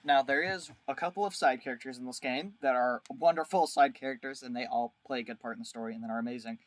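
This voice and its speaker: A man's voice: mid-range voice, quick pace, dry recording. A man giving a casual, rambling video review as host, with a crude, jokey, exaggerated way of talking.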